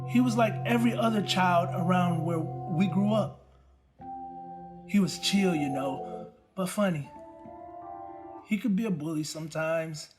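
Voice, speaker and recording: An actor playing a tender, plainspoken young man giving a halting eulogy for a friend in an audio drama. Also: high voice. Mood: fond, hesitant. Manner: halting, searching for words. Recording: music under the voice. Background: music.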